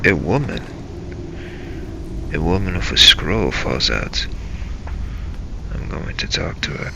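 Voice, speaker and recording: A man narrating a game role-play as a first-person story, in halting, broken phrases. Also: low voice, animated, creaky, background noise.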